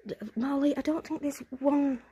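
A woman's voice: high-pitched, fairly even intonation, normal speed, slight background noise. A woman whispering cautiously as she films her walk through a derelict building on a phone. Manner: hushed, cautious, often whispering. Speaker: urban explorer filming. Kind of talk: whispered exploration vlog. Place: derelict brick building, indoors. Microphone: handheld phone camera.

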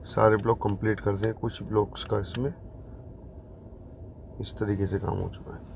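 A man's voice: fairly low pitch, flat delivery, moderate pace, gravelly, noisy background. A man giving a casual walkthrough commentary as a property consultant showing a site. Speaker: real estate consultant giving site updates.

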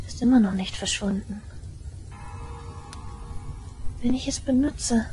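A woman playing an obsessive, unhinged young shrine maiden in an audio drama, intense and deliberate, moving between hushed and frenzied delivery. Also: on the high side, a little hiss or hum, natural voice, low-quality recording. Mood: bitter, pained. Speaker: voice actress.

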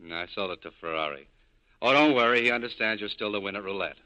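An actor playing a cool, cynical nightclub owner in clipped, hard-boiled 1940s radio style. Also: male voice, lowish voice, fast, bright, forceful tone, rough recording. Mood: businesslike. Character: tough, cool cynical male nightclub owner scheming an escape. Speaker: lead actor in a radio drama.

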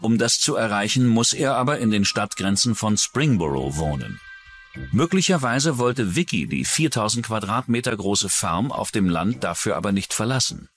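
A man's voice: on the low side, expressive, moderate pace, husky, soft background music. A man reading scripted narration for a true-crime documentary.

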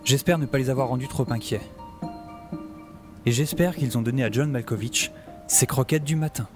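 A man's voice: mid-range voice, moderate pace, close to an everyday voice. A man playing an optimistic, self-important middle-aged scientist and survivor, narrating an audio drama in a wry, theatrical, musing way. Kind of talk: scripted first-person audio drama narration. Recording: music behind the voice. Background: music.

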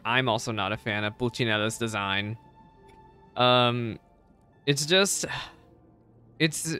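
A man's voice: mid-range voice, bright, forceful tone, clean tone. A young man giving casual live reaction commentary as a streamer, close on the mic. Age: young adult. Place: indoor streaming setup. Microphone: close desk microphone.

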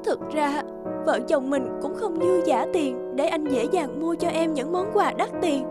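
High-voiced plaintive cartoon wife. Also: female voice, measured pace. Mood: tender. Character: emotional working wife and mother in a cartoon. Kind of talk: animated story voice acting. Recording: background music.

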